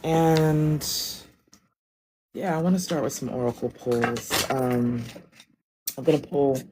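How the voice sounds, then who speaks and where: Raspy-voiced woman reading tarot live. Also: rumbling low, fairly even intonation, takes it slowly, background noise. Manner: loose, rambling, with many ums and asides. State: raspy, deeper than usual voice. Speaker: tarot and oracle reader. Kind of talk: casual livestream reading.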